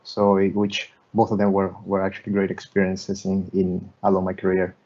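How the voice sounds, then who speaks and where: A man, giving an online lecture as a guest architect, talking haltingly with many restarts and repeated words. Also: sits low, completely flat delivery, a little mellow. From Peru. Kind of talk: online lecture presenting slides. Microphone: video call. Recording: muffled video call audio.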